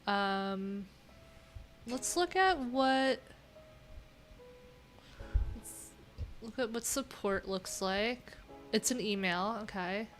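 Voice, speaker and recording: A woman thinking aloud in a casual, unscripted tech livestream, informal and halting, often trailing off mid-thought. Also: medium pitch, a bit bright, faint music behind. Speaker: livestream host, web developer.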